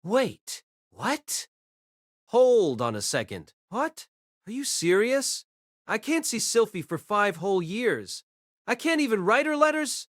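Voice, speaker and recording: A man's voice: high voice, big pitch swings, slow pace, dry recording, studio quality, voice pushed up, full character voice. A man voicing a polite, wry young boy who is easily flustered, in an audio drama. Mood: shocked.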